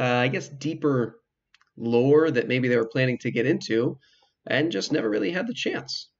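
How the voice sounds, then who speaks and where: A man giving casual let's play commentary as the host of a video game playthrough. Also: medium pitch. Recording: slightly muffled sound, music behind. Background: game music.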